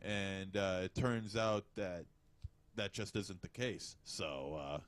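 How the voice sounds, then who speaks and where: Low-pitched man, halting podcast chat. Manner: halting, hesitant delivery. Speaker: podcast co-host. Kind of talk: casual movie review chat.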